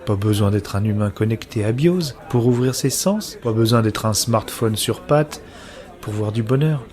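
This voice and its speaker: An actor playing a brooding, anguished man who narrates his own science-fiction story in measured, literary, introspective phrasing. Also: fairly low pitch, a little mellow, natural voice. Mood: wistful, contemplative. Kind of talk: scripted first-person narration of sci-fi audio drama.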